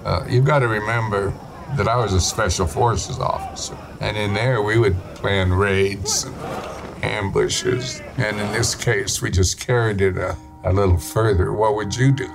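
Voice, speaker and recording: Low, gravelly older man reminiscing. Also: steady tone, measured pace. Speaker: documentary interviewee, former Special Forces officer. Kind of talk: unscripted interview recollection. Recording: documentary clip with music underneath.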